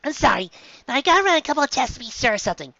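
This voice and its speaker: A woman's voice: sits high, very animated, racing, gravelly, sharp tone, no room sound, muffled recording, pitched above the performer's own voice, full character voice. A woman voicing a small, caring but firm reindeer doctor in a story reading, speaking earnestly and emphatically. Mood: concerned.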